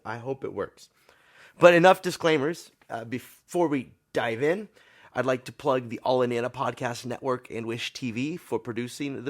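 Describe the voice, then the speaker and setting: A man, a pastor hosting his podcast sermon, his voice tired and a little scratchy, speaking informally with small repetitions. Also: mid-range voice.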